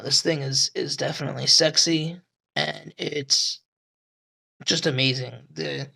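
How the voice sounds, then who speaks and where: Raspy-voiced man, slow and gravelly. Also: fairly high pitch, fairly bright tone. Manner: rambling, swearing, frequent fillers. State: hoarse at night. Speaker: knife reviewer. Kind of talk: casual talk to camera.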